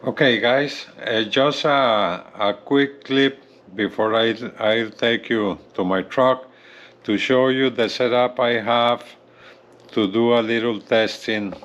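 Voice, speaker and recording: A man giving a casual hands-on product demonstration as a reviewer, halting and often checking in with the viewer. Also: medium pitch, steady tone, fairly bright tone, highs cut off. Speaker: gadget reviewer presenting a CB radio.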